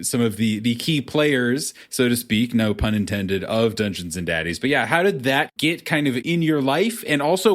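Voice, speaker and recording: A man's voice: mid-range voice, a bit bright. A man hosting a podcast interview, chatting casually and feeling his way through roundabout questions.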